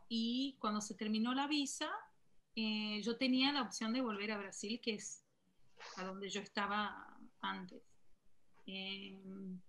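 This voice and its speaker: A woman speaking through a video call worn soft by away. She tells her own story haltingly, filling gaps with ums and restarting words. Her pitch sits in a middling range. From Argentina. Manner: hesitant, many fillers and self-corrections. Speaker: guest in an online panel talk. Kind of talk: casual personal story in an online chat.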